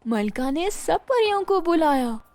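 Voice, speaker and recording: Woman, children's story narration, high voice, dry close recording, expressive, deliberate. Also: no room sound.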